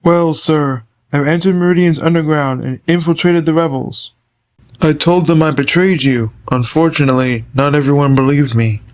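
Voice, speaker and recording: A loyal henchman spy, acted by a man in a fantasy comic dub. He sounds formal, measured and earnest, with a somewhat high male pitch. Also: steady tone, close to an everyday voice. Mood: deferential, grave. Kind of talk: comic fandub voice acting.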